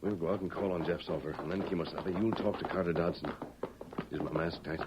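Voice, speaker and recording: Guy acting a deep voiced masked cowboy hero, firm n commanding, muffled old radio show w noisy background. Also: fairly even intonation, lightly acted. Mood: decisive. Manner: firm, measured, authoritative. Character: masked western lawman hero, calm, commanding, decisive. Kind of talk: 1940s radio western acting. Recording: dull old radio recording, crowd-like noise behind.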